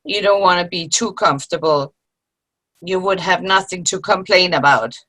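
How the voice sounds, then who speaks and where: A woman playing a sharp-tongued, sarcastic older friend in a comic play reading. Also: lowish voice, moderate pace, poor audio. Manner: dry, sarcastic, needling. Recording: video call play reading.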